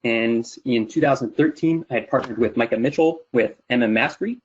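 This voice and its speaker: A man's voice: medium pitch, a little fast, slightly rough. A man in his thirties giving an explanatory webinar presentation in an informal way. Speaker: presenter, company CEO. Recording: dull lo-fi webinar audio.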